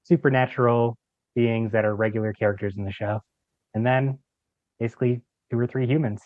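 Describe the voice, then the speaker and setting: A man's voice: medium pitch, steady tone, a little fast, dry recording. A man chatting casually as a podcast guest, informal and a little hesitant, with light amusement. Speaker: audio drama creator, podcast guest. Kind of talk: casual chat. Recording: slightly dull lo-fi microphone sound.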